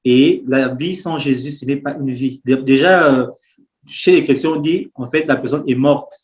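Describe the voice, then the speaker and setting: A man's voice: mid-range voice, normal speed, a little mellow, lo-fi sound. A man hosts an interview in a conversational style, explaining things to his guest and viewers. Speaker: host.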